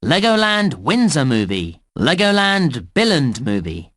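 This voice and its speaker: Very animated children's game narrator. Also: male voice, on the high side, full character voice. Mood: bright, prompting. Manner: deliberate, clearly enunciated, instructive. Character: cheerful male theme park narrator in children's game. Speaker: game narrator reading menus and hints.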